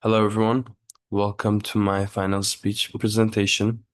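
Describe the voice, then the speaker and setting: A man's voice: on the low side, level tone, normal speed, no echo. A young man from Turkey giving a prepared class speech, earnest, with small restarts and fillers.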